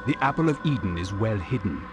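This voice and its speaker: An actor playing a stern, controlled assassin leader in a video game, speaking in a measured, cool manner. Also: male voice, lowish voice, expressive, close to an everyday voice. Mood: cool, menacing. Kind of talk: video game cutscene acting. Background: music.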